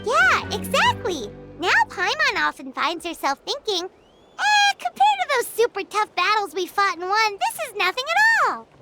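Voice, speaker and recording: A woman voicing a tiny, excitable fairy companion in a video game, with a squeaky childlike voice and an exclamatory, chatty manner. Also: normal speed, exaggerated voice. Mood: eager. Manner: speaks of herself in third person, exclamatory. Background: music.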